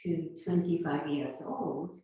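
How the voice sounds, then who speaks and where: Slow Japanese-accented woman in her fifties. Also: deep voice, animated. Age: 50s. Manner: unhurried, halting, soft laughs between thoughts. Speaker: guest, sound healer. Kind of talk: conversational interview. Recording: roomy echo, far from mic.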